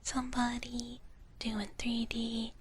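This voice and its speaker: A woman hosting an ASMR livestream in a breathy whisper, speaking soothingly and gently repeating her phrases. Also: a little high, little pitch movement, slow pace. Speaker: livestream host.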